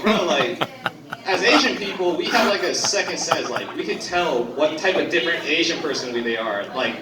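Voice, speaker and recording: A young man from Korea performing a first-time stand-up set, talking loosely with lots of fillers and swearing. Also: on the high side. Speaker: stand-up comedian. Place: comedy club stage. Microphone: phone recording from the audience. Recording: roomy, distant phone recording with crowd. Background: audience laughter.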